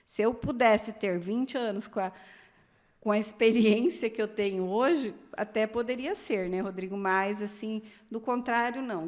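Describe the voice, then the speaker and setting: A Brazilian woman in her fifties, a podcast guest telling personal stories in a chatty, casual way. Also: medium pitch. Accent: Brazilian Portuguese. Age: 50s. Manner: chatty, storytelling, laughs often. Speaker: guest, pre-candidate.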